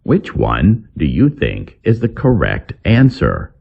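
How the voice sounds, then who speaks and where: A man reads a scripted English lesson as a teacher, setting words and phrases apart with deliberate enunciation. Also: fairly low pitch, huge pitch swings, vocal fry, husky, dull audio. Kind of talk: scripted vocabulary lesson narration.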